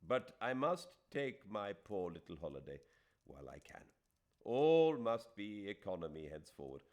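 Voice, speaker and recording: A man's voice: fairly low pitch, big pitch swings, moderate pace, a little mellow, voice pushed up. A man voicing a wounded Indian man who speaks his letter with cold, aggrieved politeness.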